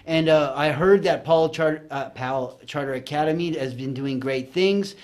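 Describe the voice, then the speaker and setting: Guy hosting a local talk show, kinda high voice, stumbles and repeats himself but super hyped. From San Bernardino, California. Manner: enthusiastic, halting with repeated words. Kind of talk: casual chat.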